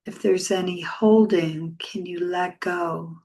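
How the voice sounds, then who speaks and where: A woman leading a guided meditation as a yoga teacher, speaking softly and soothingly with long, unhurried pauses. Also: lowish voice, steady tone.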